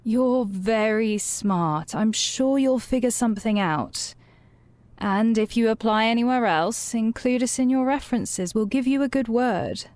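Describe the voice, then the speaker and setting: An actress playing a composed, unbending boss in an audio drama, firm and matter-of-fact. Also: female voice, fairly high pitch, a little slow, darkish tone, no room sound, natural voice. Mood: firm, dismissive.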